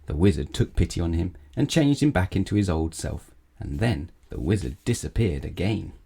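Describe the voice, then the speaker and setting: Male storyteller, children's read-aloud, deep-leaning pitch, soft relaxed timbre. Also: normal speed, vocal fry, breathy edge.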